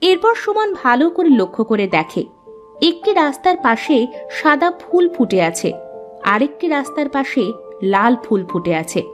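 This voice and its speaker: A woman's voice: sits high, very animated, moderate pace, faint music behind. A woman reading scripted narration for a cartoon story as its narrator.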